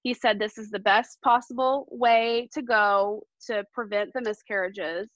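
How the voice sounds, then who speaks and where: A woman around forty, a podcast guest telling a personal story in an earnest, halting way with many fillers. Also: on the high side, fairly even intonation, fairly bright tone, no room sound, highs cut off.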